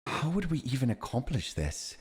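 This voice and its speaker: A man's voice: mid-range voice, very animated, normal speed, a little mellow, slightly rough. A man acting a principled, earnest army commander advising a monarch in a fantasy audio roleplay, speaking in a formal, emphatic, righteous way. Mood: skeptical.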